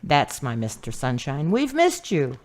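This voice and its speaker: A doting sister, played by a woman, chirpy and affectionate, with a low voice that swoops around a lot. She sounds a bit off the mic. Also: wildly swooping pitch, measured pace, darkish tone, exaggerated voice.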